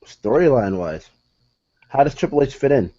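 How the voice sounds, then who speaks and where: A man co-hosting a casual wrestling chat who keeps pressing his own take on the storylines. His voice is mellow and warm, and the recording sounds dull and lo-fi. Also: mid-range voice, very animated, moderate pace. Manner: insistent, eager to argue his point.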